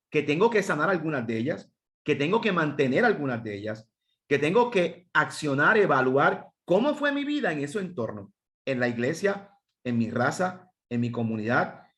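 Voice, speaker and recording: A man's voice: on the high side, fairly bright tone. A man with a Puerto Rican accent, teaching a seminar for pastors, counting off points and putting questions to his listeners. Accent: Puerto Rican Spanish. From Puerto Rico. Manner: emphatic, lists points and asks the audience questions.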